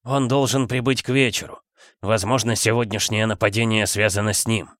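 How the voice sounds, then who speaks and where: A man playing a wounded, earnest healer in an audiobook, speaking in a quiet, laboured way. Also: on the low side, fairly even intonation, fairly quick, no room sound, studio quality, lightly acted. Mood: weak, urgent.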